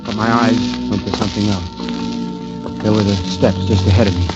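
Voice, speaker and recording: An actor playing a grave, haunted condemned man who tells his own story haltingly, in the style of 1940s radio drama. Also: on the low side, steady tone, natural voice. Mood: tense, haunted. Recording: dull vintage radio recording, loud music.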